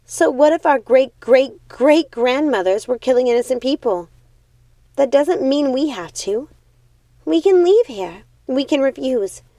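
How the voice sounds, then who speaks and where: High female voice, put on by a woman reader, young storybook witch, indignant, rebellious, emphatic, pleading. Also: lively intonation, measured pace, mellow, no echo. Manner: emphatic, exclaiming, scornful.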